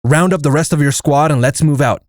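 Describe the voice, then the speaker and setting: A man playing a stoic, fatalistic Navajo army air force lieutenant in an audio comic, solemn and measured. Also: fairly high pitch, no echo, clean studio sound, natural voice. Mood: determined, grim. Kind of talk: dramatic first-person narration and dialogue in an audio comic.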